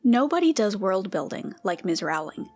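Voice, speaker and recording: A woman's voice: mid-range voice, big pitch swings, moderate pace, a little mellow, faint music behind. Woman playing an earnest, self-important fan. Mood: admiring. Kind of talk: dramatized reading of online comments.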